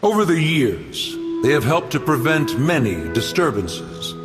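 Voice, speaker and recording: An actor playing a haughty, ancient guardian spirit in a game, speaking in a stern, stately, archaic manner. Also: male voice, fairly high pitch, big pitch swings. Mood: aloof, dignified. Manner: stern, archaic, stately, slow formal speech. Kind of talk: dramatic game voice acting. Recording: long echoing reverb with music behind.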